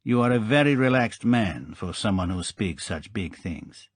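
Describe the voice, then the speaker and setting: Man doing a soft-spoken chilling mobster. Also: lowish voice, expressive, unhurried, slightly rough, dry recording. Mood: quietly threatening. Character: menacing middle-aged male mobster, cold and soft-spoken.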